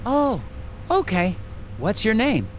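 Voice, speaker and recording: A man playing an earnest, awkward young FBI agent in an animated game, polite and hesitant with a deadpan streak. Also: high-pitched, slow, a little hiss or hum, exaggerated voice. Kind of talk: animated adventure game dialogue and dictated notes.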